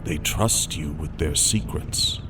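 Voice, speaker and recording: A man voicing a composed, menacing warrior commander in an audiobook, cold and deliberate. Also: rumbling low, distant mic, music in the background. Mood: coolly mocking. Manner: cold, deliberate, ominously intimate. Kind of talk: dramatic audiobook character voice.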